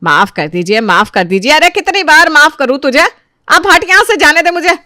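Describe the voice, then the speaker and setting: A woman acts out an older, domineering mother-in-law in a family drama. She scolds in a raised, exasperated voice with a sharp manner, recorded close and dry. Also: mid-range voice, steady tone, fairly quick, no room sound, close to an everyday voice. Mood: angry, exasperated. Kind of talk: Hindi family audio drama acting.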